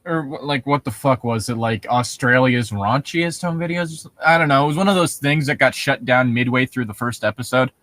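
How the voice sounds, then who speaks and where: A man co-hosting a podcast, chatting casually and reading aloud, swearing freely in a relaxed conversational way. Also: mid-range voice, normal speed, few pauses. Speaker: podcast co-host. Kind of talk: casual banter and creepypasta reading.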